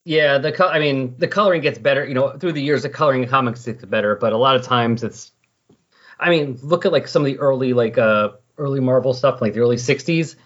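Guy cohosting a comics podcast, bit raspy, rambly chat, muffled lofi audio. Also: medium pitch, fast, fairly bright tone. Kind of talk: casual chat about comics.

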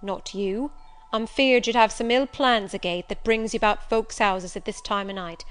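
A woman's voice: medium pitch, steady tone, moderate pace, a bit bright, clear, resonant tone, close to an everyday voice. A woman voicing a stern, suspicious elderly female servant in an audiobook, blunt, curt and scolding. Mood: stern, distrustful. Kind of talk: audiobook character voice.

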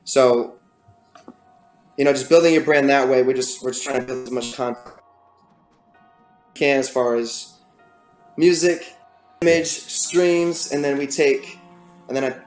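A man with a raspy voice, a guest musician talking casually in an interview, with many fillers and restarts. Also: medium pitch, a little slow, long pauses, a little mellow, roomy sound, soft background music.

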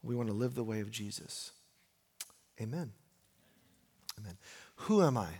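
A man's voice: on the low side, expressive, unhurried, a little mellow, breathy edge. American male preacher in a reverberant hall. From United States. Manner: informal, rhetorical questioning, repeats phrases for emphasis. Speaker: pastor preaching to a congregation. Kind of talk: conversational sermon. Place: large reverberant room, preaching live.

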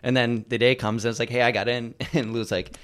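A man, a dentist, a guest on a casual podcast, telling stories in a relaxed way. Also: mid-range voice, fairly quick, clear, resonant tone. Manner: storytelling, relaxed.

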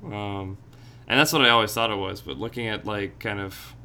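A man with a lowish voice and a bright, crisp edge to it. He talks in a soft-spoken, reflective way, circling around his thoughts in relaxed podcast conversation. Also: normal speed, slight background noise. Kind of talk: casual podcast chat.

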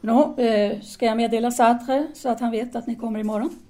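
A woman's voice: a little high, steady tone, warm tone, lightly acted. A woman playing a composed, businesslike teacher and writer in an audio drama, speaking formally and decisively.

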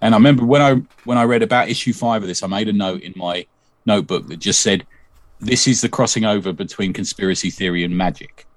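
A man with a fairly low voice talks fast as a podcast host, chatty and fond of tag questions. The sound is close and dry, with no room behind it. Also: dry recording. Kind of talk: casual podcast interview.

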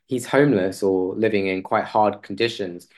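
A man speaking as an interview guest in a conversational way, hesitant and halting, often rephrasing as he goes. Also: fairly low pitch, a little mellow.

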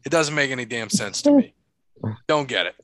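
A man's voice: mid-range voice, sing-song, fairly quick, fairly bright tone. A man hosting a casual chat, rambling through stories and laughing often. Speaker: podcast host.

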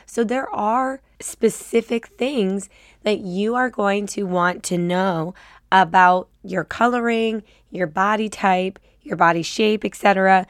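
Woman podcast host, cold-roughened raspy voice, encouraging, dry close sound. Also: mid-range voice, slow, no echo. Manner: upbeat, chatty, encouraging. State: has a cold, slightly raspy. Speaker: podcast host and style coach. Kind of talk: casual faith-based podcast talk.

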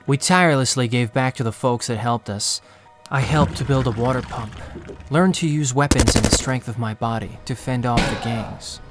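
A male actor plays an earnest young man from a poor undercity, narrating his own story in an audio drama. His mid-pitched voice is halting, confessional and full of self-doubt and feeling. Music plays beneath him. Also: natural voice. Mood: reflective, guilty. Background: music bed.

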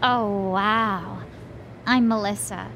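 An actress playing a starry-eyed young newcomer to the city, gushing in a dreamy sitcom-style monologue. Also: female voice, mid-range voice, fairly even intonation, takes it slowly, background noise. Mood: impressed. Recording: prominent music bed.

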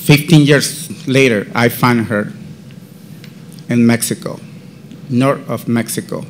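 A man with a Central American Spanish accent telling his own faith story as a podcast guest, in halting, non-native English. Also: medium pitch, slight background noise. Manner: slow, deliberate. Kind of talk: personal faith testimony storytelling.

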